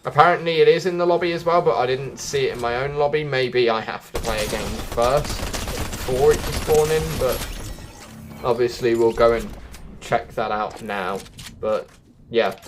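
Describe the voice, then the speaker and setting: A man's voice: medium pitch, a little hiss or hum. A man giving casual, chatty walkthrough commentary as a gaming video host, often thinking aloud. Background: background music.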